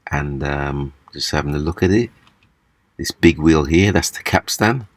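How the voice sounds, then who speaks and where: A man giving a casual, hands-on repair demonstration, explaining haltingly step by step. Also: very deep voice. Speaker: repair video presenter. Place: small indoor room at a table.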